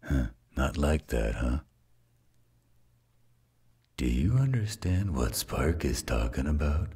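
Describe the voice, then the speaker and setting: Very deep, husky demon father. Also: big pitch swings, slow, mellow, no room sound, heavily acted. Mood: puzzled, wry. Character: gruff, protective male demon father in a fantasy werewolf tale. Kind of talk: fantasy audio roleplay acting.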